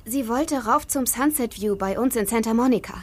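A woman's voice: fairly high pitch, normal speed, darkish tone, natural voice. An actress playing a worried, earnest young woman seeking help in an audio drama.

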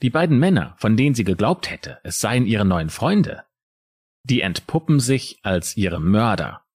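A male true crime podcast co-host with a Palatinate German accent, telling the story dramatically with pauses. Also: lowish voice, sing-song, breathy edge.

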